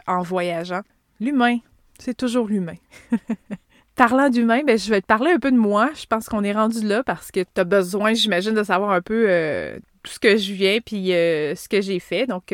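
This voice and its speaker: A woman's voice: medium pitch, dry recording, clean studio sound. Chatty Québécois woman in her thirties. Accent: Québécois French. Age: 30s. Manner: chatty, rambling with asides and frequent fillers. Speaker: podcast host. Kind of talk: casual personal podcast monologue.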